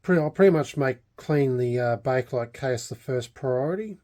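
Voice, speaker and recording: A man's voice: medium pitch, normal speed, dark tone. A man with an Australian accent giving a casual, unscripted show-and-tell, hesitant and meandering as he goes. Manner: hesitant, meandering delivery with trailing thoughts. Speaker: hobbyist vlogger.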